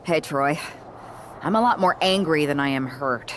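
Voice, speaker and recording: A woman's voice: low voice, moderate pace, a bit bright. A hard-edged female fighter in a video game story. She talks with dry sarcasm and simmering anger, over faint background noise. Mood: irritated. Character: tough angry female wrestling rookie, former MMA fighter, sardonic. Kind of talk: video game cutscene acting.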